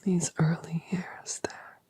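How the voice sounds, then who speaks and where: A woman voicing a colossal, doting mother dragoness, whispering breathily and cooing gentle questions in an ASMR roleplay. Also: lowish voice, little pitch movement, slow pace. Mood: tender.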